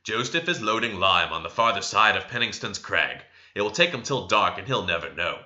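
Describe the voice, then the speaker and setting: Male reader as morose, suspicious farm lad of sixteen in a classic novel: dropped pitch, blunt, lo-fi recording. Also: sing-song, a little fast, pushed and bright, exaggerated voice. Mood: dismissive. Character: sullen, suspicious, morose sixteen-year-old farm boy.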